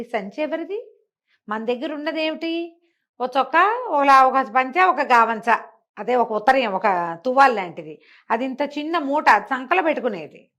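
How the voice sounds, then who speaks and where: A woman's voice: sits high, a bit bright. A woman storyteller telling a bedtime folk tale conversationally, repeating and explaining small details as she goes.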